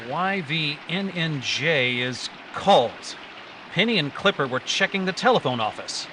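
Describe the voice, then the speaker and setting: Male actor as commanding hero of a vintage radio serial, businesslike, slightly high voice, noisy live recording. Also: animated, measured pace, sharp tone. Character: decisive, businesslike adventure hero, adult man. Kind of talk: 1940s radio acting.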